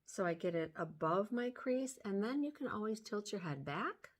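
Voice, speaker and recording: An older woman with a slightly hoarse voice, gently talking through a makeup tutorial step by step, filmed close on a phone at home. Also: medium pitch, lively intonation, normal speed. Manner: gentle, step-by-step explaining while applying makeup. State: hoarse from sinus trouble. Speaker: beauty vlogger. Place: small room at home, indoors. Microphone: phone camera close up.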